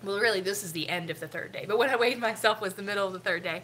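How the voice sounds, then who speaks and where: A woman's voice: medium pitch, racing, a bit bright, slight background noise, poor audio. A middle-aged woman with a stuffy, sniffly nose, rambling casually with lots of ums while hosting a family live stream. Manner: rambling, chatty, with frequent ums.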